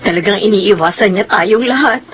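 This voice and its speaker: A woman's voice: medium pitch, moderate pace, a little hiss or hum, lightly acted. A woman with a Filipino accent playing a worried, resigned elderly mother in a drama. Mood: anxious. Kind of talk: dramatized Filipino radio-style drama acting.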